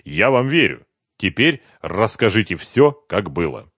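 A man voicing a calm, commanding detective who questions a suspect in an audiobook, level and firm. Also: lowish voice, unhurried, husky, no room sound, clean studio sound, full character voice. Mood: steady, commanding. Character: calm, relentless male detective interrogating a suspect. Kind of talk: audiobook detective novel dialogue.

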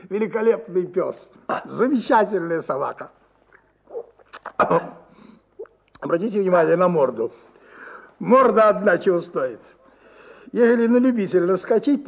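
An actor playing a tipsy, boastful middle-aged army officer in a radio story, effusive and theatrical, drawling and wheedling. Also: male voice, high voice, exaggerated voice. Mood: boastful rapture. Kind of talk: dramatized radio short story, partly sung. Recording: slightly muffled, guitar music behind.

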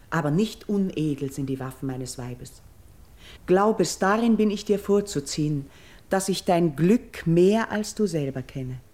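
An actress playing an earnest, steadfast Greek princess and priestess in a classical verse radio drama, speaking in solemn, measured, elevated declamation. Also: female voice, medium pitch, warm tone, natural voice. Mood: firm, dignified. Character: noble Greek king's daughter turned priestess, earnest and steadfast.

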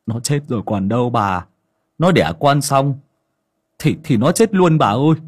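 A man's voice: medium pitch, very animated, a little slow, husky, voice pushed up. A man voicing a frightened young male servant who stammers and cries out. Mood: anxious.

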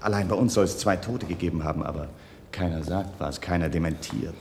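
An actor playing an anxious, hesitant man who pleads and confides uneasily in a German radio play. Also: fairly quick. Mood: anxious, halting. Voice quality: very deep, creaky voice. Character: anxious, hesitant man pleading about his sick wife. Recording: long reverberant hall, faint noise.

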